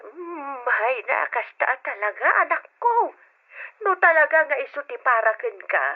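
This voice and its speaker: A woman playing a caring, gently concerned middle-aged mother with a Filipino accent in an old radio drama. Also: high voice, big pitch swings, unhurried, heavily acted. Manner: motherly, exclaiming. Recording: dull old radio recording.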